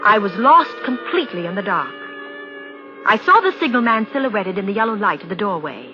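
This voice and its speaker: A woman's voice: medium pitch, sing-song, a little fast, music in the background, close to an everyday voice. An actress playing a rational, insistent woman in an old radio drama, articulate, persuasive and dramatic in her delivery. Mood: tense narration. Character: rational, insistent woman reasoning with a frightened man. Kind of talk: 1940s radio drama acting and narration. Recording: dull, noisy old radio broadcast.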